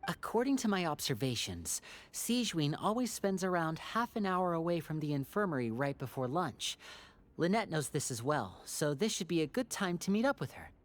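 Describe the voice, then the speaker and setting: A man's voice: fairly high pitch, sing-song. Composed, courteous young man. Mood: watchful, analytical. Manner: measured, polite, deliberate. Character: composed, courteous, watchful young man, protective older brother. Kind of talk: video game voice acting. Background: music.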